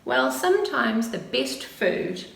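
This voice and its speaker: A woman plays an elderly grandmother bear from a picture book, patient and encouraging. She speaks slowly and instructively, with some creak in the voice, in a roomy space with faint noise behind. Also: fairly high pitch, very animated, distant mic, pitched above the performer's own voice, full character voice. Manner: gentle, instructive, reassuring. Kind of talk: children's storybook character reading.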